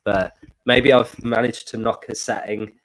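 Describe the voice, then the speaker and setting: A man hosting a live stream with a strangely high, squeaky voice, as if from a glitch. He sounds stuffed up from a blocked nose. He rambles cheerfully through his announcements. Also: level tone, unhurried, fairly bright tone, poor audio. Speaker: stream co-host. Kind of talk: casual live stream chat. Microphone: desk microphone.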